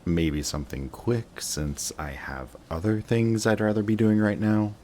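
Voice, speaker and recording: A man's voice: lowish voice, fairly even intonation, a little slow, dark tone, a little hiss or hum, natural voice. A man playing a sarcastic, jaded supervillain in a scripted roleplay audio drama, with a dry, taunting way of talking to an old rival. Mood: sarcastic, dismissive.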